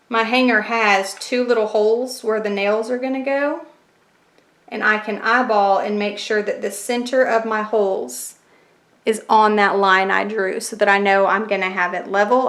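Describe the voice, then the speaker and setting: A woman's voice: mid-range voice, a bit bright. A woman hosting a craft tutorial, chatty and step by step, fighting a cold and losing her voice. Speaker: craft channel host. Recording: echoey room, faint kitchen clatter.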